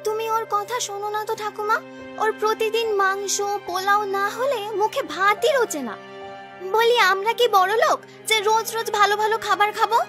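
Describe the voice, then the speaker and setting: Woman as a high-voiced gentle young village girl. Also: steady tone, music in the background. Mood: concerned. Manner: pleading, soft-spoken, quick. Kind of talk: animated folk tale acting.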